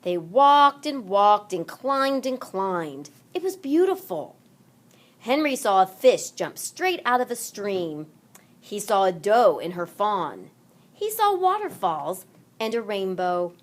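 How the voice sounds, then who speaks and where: A woman narrating a children's read-along story aloud in an expressive, deliberate manner. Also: mid-range voice. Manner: deliberate, animated delivery for children.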